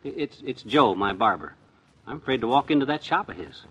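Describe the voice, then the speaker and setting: An American man playing a cocky, excitable middle-aged husband who wisecracks and blusters in a 1950s radio comedy. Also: medium pitch, a little fast, breathy edge. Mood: anxious, evasive. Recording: dull vintage radio recording.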